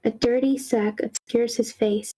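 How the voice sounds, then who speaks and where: A woman's voice: fairly high pitch, steady tone, measured pace, warm tone, slightly rough, poor audio. A woman reading screenplay action lines aloud as the narrator of a live table read.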